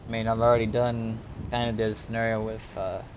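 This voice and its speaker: A man streaming an online roleplay game, chatting casually and haltingly as he thinks aloud. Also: fairly low pitch, monotone, background noise. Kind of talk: casual gaming chat.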